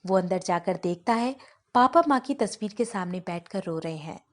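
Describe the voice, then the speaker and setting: A woman's voice: mid-range voice, lively intonation, normal speed. A woman reading scripted narration for a family story.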